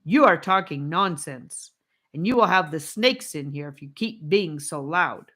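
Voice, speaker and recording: A woman's voice: deep voice, expressive, unhurried, fairly bright tone, exaggerated voice. A woman voicing an aggressive captor who scolds the children sternly. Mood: scolding.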